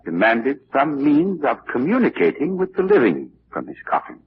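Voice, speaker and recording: An actor playing a polite, easily astonished undertaker in a 1940s radio drama, hesitant and deferential. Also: male voice, mid-range voice, wildly swooping pitch, normal speed. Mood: earnest, unsettled. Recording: dull, hissy 1940s radio recording.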